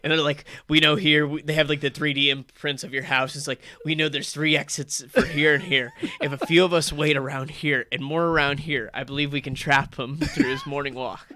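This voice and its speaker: A man's voice: fairly high pitch, steady tone, fairly quick, hardly any pauses, pushed and bright. A man who is a comedian guest on a radio show, riffing jokes in casual chat in a studio. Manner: jokey, riffing with playful bits.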